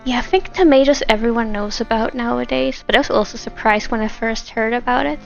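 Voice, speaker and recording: A woman's voice: on the high side, a little fast, gravelly. A woman chatting casually and conversationally as she livestreams a game, in a friendly manner. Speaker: game streamer. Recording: slightly dull microphone, game music behind. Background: game music and dialogue.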